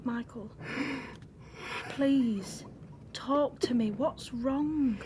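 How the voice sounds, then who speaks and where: An actress playing a frustrated young wife from wartime northern England in an audio drama, blunt and emotional, with a Northern English accent. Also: female voice, on the high side, extremely slow, noisy background. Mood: earnest pleading.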